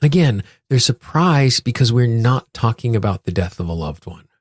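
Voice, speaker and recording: A man's voice: medium pitch, animated, normal speed, no room sound, clean studio sound. An American man hosting a solo podcast, explaining things and speaking directly to his listeners. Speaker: podcast host.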